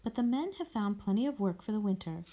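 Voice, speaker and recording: A woman voicing a knowing, warm-hearted fortune-teller in a storybook, with a musical, teasing way of speaking. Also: medium pitch, expressive, fast, lo-fi sound, slight background noise, pitched above the performer's own voice, lightly acted. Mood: wistful, chatty. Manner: wailing, lilting, teasing.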